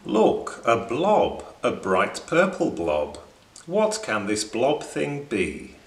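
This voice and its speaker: A man in his thirties reading a rhyming children's picture book aloud in an expressive, measured, dramatic way. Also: medium pitch. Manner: measured, dramatic delivery for young listeners. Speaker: children's storybook reader. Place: small indoor room beside bookshelves. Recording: roomy indoor echo.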